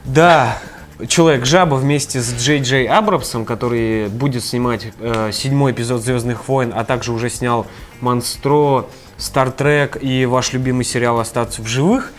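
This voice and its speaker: A man's voice: mid-range voice, moderate pace. A young man with a stuffy, nasal voice from a cold, running through game news casually in a small studio on a lapel mic. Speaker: news presenter.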